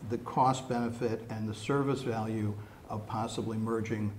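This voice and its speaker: American man, measured, over room noise. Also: lowish voice, steady tone, darkish tone. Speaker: city council candidate. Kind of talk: answering at a candidates' forum.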